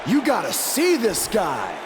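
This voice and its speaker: A man playing a brash, defiant rising wrestler in a video game, speaking with punchy, drawn-out emphasis. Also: sits high, huge pitch swings, crowd noise, background noise, full character voice. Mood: defiant, cocky.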